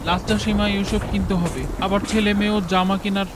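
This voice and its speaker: A woman's voice: medium pitch, level tone, fast talker, noisy background, natural voice. Careworn village father, voiced by a woman. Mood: weary. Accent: Bangladeshi Bengali. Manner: weary, sighing, careworn. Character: poor, worried village father and husband.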